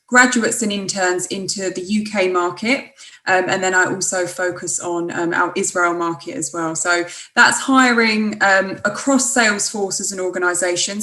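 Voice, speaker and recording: A woman's voice: lowish voice, pushed and bright. A woman recruiter introducing herself on a video call, with many "um"s. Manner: many "um"s, friendly. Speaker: graduate recruiter. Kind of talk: webinar introduction. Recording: echoey room.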